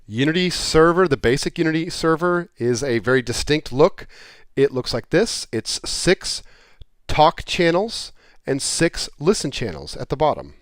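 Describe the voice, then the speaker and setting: A man's voice: on the high side, expressive, unhurried, no room sound. A man presenting a product in a casual explainer style, talking plainly and repeating points in everyday terms.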